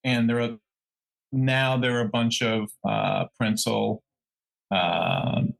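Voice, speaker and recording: A man co-hosting a podcast in casual true crime discussion, tentative and halting as he searches for words. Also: medium pitch, creaky. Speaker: podcast co-host.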